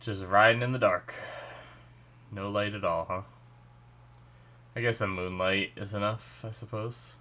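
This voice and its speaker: A man's voice: lowish voice, fairly even intonation, a little slow, long pauses, bright, forceful tone. A man with a stuffy nose hosting a casual reaction video, rambling and thinking aloud with lots of "like" and "you know".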